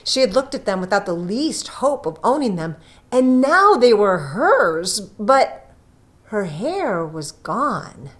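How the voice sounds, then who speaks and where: A woman narrates a story at an unhurried pace. Her intonation is lively and wide-ranging, with deliberate, dramatic phrasing. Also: mid-range voice. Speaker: story reader. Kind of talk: expressive scripted storytelling.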